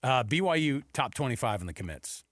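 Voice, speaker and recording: A man's voice: medium pitch, sing-song, fairly quick, fairly bright tone, no room sound, studio quality. An American man co-hosting a sports talk show, chatting informally and rambling through his points with conversational asides.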